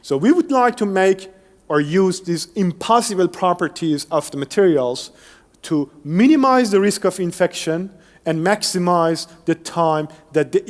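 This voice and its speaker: A male engineer who grew up speaking Persian, giving an explanatory academic lecture with occasional restarts. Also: fairly high pitch. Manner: explanatory, steady, some restarts. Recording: long hall reverb, faint music.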